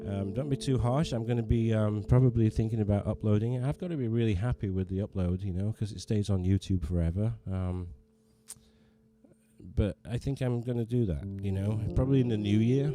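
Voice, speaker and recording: A man hosting a casual livestream from his living room, rambling and self-deprecating, with many restarts as he chats with viewers. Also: fairly low pitch. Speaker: livestream host and pianist. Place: living room, digital piano.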